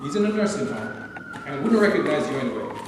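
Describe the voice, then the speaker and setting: Distant and very echoey, a man plays a needling small-town detective in a stage reading. The voice is high and swings widely, with blunt delivery. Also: sing-song, fast talker. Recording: very echoey hall, distant mic, clear noise.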